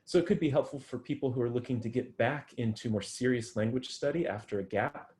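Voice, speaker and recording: A man's voice: mid-range voice, a little fast, a little mellow, a little hiss or hum. A man answering interview questions about his research, thoughtful and full of ums and restarts. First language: English. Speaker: guest researcher.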